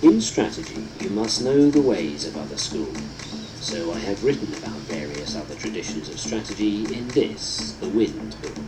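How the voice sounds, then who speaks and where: A man reading a book aloud as scripted narration, in a solemn, deliberate, instructive manner. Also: sits low, expressive, rough recording. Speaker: audiobook narrator. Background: prominent instrumental music.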